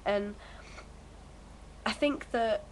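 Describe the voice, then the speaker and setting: A woman's voice: mid-range voice, extremely slow, a little hiss or hum, poor audio. A young woman in her early twenties, bunged up with a cold, chatting at home to her vlog camera in a rambling, hesitant way full of fillers. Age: early 20s. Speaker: vlogger. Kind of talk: casual chat to camera.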